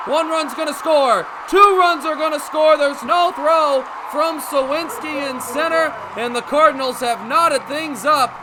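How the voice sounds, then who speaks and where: A young man calling baseball play-by-play for radio in crisp, descriptive phrases, as live sports commentary. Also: very high voice, noisy background. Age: young adult. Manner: crisp, descriptive phrases with excited calls on big plays. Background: ballpark ambience.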